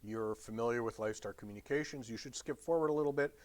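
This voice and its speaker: A man giving an instructional lecture as a trainer, blunt and direct with wry humor and emphatic pauses on key phrases. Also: medium pitch. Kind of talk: instructional lecture on radio procedure.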